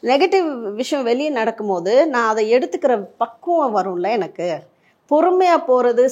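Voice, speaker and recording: Female coach, fairly high voice, interview setting, emphatic explainer who poses rhetorical questions and drops in English words. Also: darkish tone. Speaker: life coach giving relationship advice.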